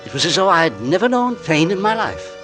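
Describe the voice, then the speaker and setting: An actor playing an anxious, tender husband in a 1940s radio suspense play, with a melodramatic delivery. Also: male voice, high voice, sing-song, fairly quick. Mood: brooding, dramatic. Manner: melodramatic, genteel, hesitant in dialogue. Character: anxious, tender husband narrating his own mysterious illness. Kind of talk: 1940s radio suspense acting, first-person narration and dialogue. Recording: vintage radio drama with music underneath.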